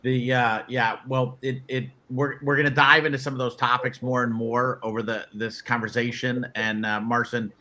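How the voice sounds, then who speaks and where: A man's voice: mid-range voice, level tone, moderate pace, hardly any pauses, sharp tone. A man hosting a webinar panel, talking loosely with many restarts. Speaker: webinar host, moderator. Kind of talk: casual panel moderation.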